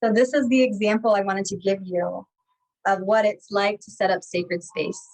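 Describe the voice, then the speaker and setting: A woman speaking as a guest guide in a meditative spiritual talk, gently and deliberately, phrase by phrase with pauses. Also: medium pitch, fairly even intonation, poor audio.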